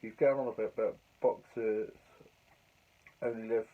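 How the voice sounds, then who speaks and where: A young man with a croaky cold voice, rambling chattily through his diary vlog. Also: fairly low pitch, fairly even intonation, moderate pace. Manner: chatty, rambling, fillers and restarts. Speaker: vlogger. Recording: tinny phone-like sound.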